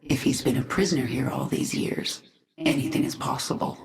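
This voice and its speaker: A woman's voice: a bass voice, normal speed, gravelly, exaggerated voice. An actress voicing a determined, commanding female swarm queen who speaks firmly. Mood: resolute. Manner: firm and resolute, at times dropping to a whisper. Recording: echoey.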